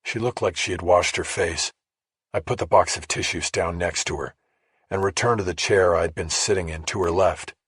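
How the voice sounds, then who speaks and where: Casting: male narrator for a crime thriller, very raspy, lowish register, measured, close dry sound. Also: fairly bright tone, no room sound. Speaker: audiobook narrator. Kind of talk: scripted first-person crime thriller narration.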